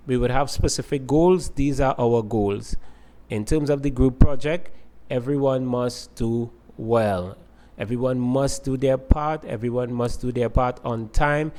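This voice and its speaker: A male lecturer with a voice of ordinary middle pitch. He explains concepts methodically and builds lists by repeating the same opening words. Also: measured pace, mellow. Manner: explains step by step with repeated phrasing. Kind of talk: recorded lecture to students.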